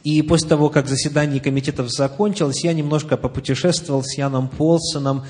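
A man's voice: a little high, steady tone, quick pace, a little mellow. A man interpreting a church address live, phrase by phrase, with some hesitation. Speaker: consecutive interpreter.

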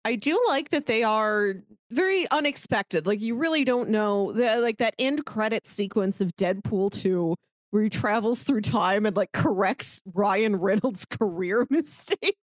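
A woman co-hosting a podcast in a casual film discussion, chatty and opinionated in an animated way. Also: medium pitch, unhurried, no echo. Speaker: podcast co-host.

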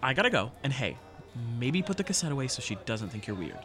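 A man acting as a playful, chatty teenage best friend, animated and teasing, in a fiction podcast. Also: mid-range voice, quick pace, faint music behind. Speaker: voice actor.

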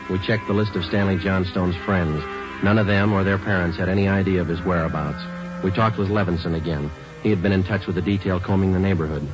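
A man plays a terse police sergeant with a deep voice and a deadpan, businesslike delivery. It is old radio crime drama, clipped and factual. The sound is dull and dated, with clear music in the mix. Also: natural voice. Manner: clipped, deadpan, matter-of-fact. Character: terse, businesslike male police sergeant narrating his case. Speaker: police detective. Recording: dull vintage radio recording, music behind.

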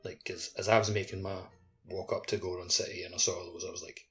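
A man's voice: deep voice, level tone, fast talker, fairly bright tone, soft background music. A man chatting casually as a co-host on a gaming podcast.

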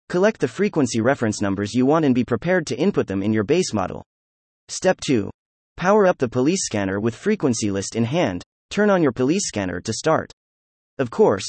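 A computer-generated male voice reads a how-to guide aloud in a steady instructional way. His pitch moves around a lot, and the recording is dry, with no room sound. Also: dry recording. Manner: even instructional delivery with lively pitch. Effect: synthetic text-to-speech voice. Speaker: narrator. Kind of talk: scripted article reading.

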